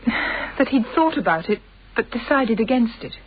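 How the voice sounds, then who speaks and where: An actress playing a polite, evasive, anxious middle-class wife in a radio drama, speaking carefully with a British accent. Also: female voice, a little high, big pitch swings, normal speed, slightly rough. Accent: British English. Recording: dull, band-limited radio drama sound.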